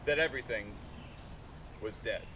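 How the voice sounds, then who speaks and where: A man giving a live literary reading outdoors, declaiming in short, broken phrases. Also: normal speed. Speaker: artist reading aloud and taking questions. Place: outdoors in a sculpture park. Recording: noisy outdoor recording with vehicle rumble.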